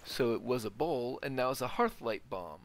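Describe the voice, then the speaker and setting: Male let's player, casual and explanatory, mid-pitched, game ambience behind him. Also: animated, moderate pace, slight background noise. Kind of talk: casual game walkthrough commentary. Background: video game ambience.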